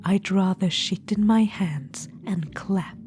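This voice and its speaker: A woman's voice: takes it slowly, close to an everyday voice. A woman voicing a cold, scheming undead witch-queen in a whispered ASMR roleplay, with a dry, mocking manner. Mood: cold, vindictive. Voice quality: whispered, soft and low. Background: music.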